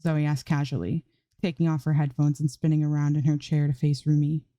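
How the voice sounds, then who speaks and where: Low, mellow woman reading a story. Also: flat delivery, brisk, dry recording. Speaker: amateur fan fiction narrator. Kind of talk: scripted story narration.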